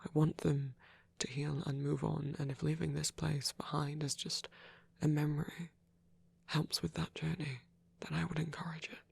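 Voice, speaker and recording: A man performing a soft ASMR roleplay as a lonely, gentle old vampire priest, speaking haltingly and tenderly in formal words, breathy and often near a whisper. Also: fairly high pitch, little pitch movement, heavily acted. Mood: wistful, tender.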